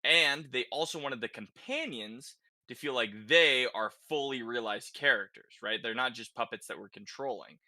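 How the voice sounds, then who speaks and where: A man with a very bright, forceful voice hosts a casual gaming discussion. He speaks conversationally and tags many statements with a quick check-in. The sound is dry and close. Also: mid-range voice, no echo. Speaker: podcast host.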